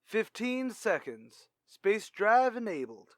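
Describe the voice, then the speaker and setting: A man acting a brisk, authoritative space fleet commander in a retro radio drama. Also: high voice, sing-song, no echo, clean studio sound, exaggerated voice. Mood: clipped countdown. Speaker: announcer and actor in an audio drama.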